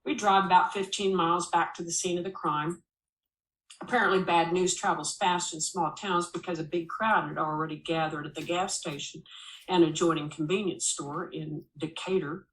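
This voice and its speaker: A woman reading a first-person memoir aloud as an expressive storyteller, with a dramatic, wry delivery. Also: fairly low pitch, moderate pace, distant mic. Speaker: narrator reading a memoir chapter. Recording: roomy, echoing voice recording.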